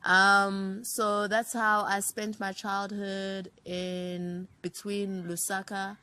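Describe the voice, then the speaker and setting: A woman in her thirties from Zambia, a writer and producer recalling her childhood in a relaxed interview. She talks in a reflective way, reeling off long runs of names. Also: mid-range voice, slow, smooth. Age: 30s.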